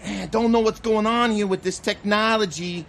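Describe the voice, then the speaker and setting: An actor playing a chatty, bemused hospital security guard in a humorous audio drama, with folksy, incredulous talk. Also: male voice, sits high, fairly even intonation, moderate pace, gravelly, a bit bright, a little hiss or hum. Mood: bemused, grumbling.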